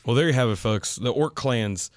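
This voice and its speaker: A man's voice: medium pitch, moderate pace, dry recording. A man hosting a hobby podcast in an informal, enthusiastic, conversational style. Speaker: podcast host.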